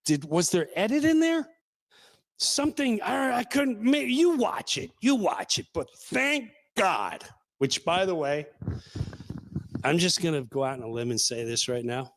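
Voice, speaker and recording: A man hosting a casual talk show, sarcastic and animated, now and then slipping into mocking voices. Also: high voice, measured pace, vocal fry. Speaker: podcast host.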